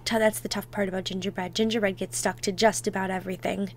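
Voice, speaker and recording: A woman playing an affectionate, playful girlfriend in an ASMR roleplay, talking softly and intimately, sometimes close to a whisper. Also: medium pitch, level tone, slight background noise, lightly acted. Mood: cheerful, relaxed. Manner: soft, affectionate, unhurried, dropping into near-whispers.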